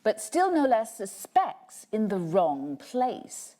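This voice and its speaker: A woman reading poetry aloud as a dramatic recital, with measured, expressive and deliberate phrasing. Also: medium pitch.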